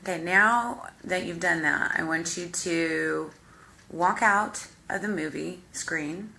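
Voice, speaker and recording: A woman hypnotherapist with a deep voice, guiding the listener through a visualization in slow, calm, step-by-step instructions. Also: vocal fry, a little hiss or hum. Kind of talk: guided visualization exercise.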